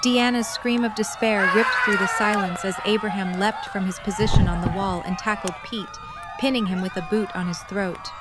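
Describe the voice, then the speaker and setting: A woman's voice: mid-range voice, fairly even intonation, moderate pace, over a noisy crowd, heavy background noise. A woman reading scripted story narration as the narrator of an audio drama.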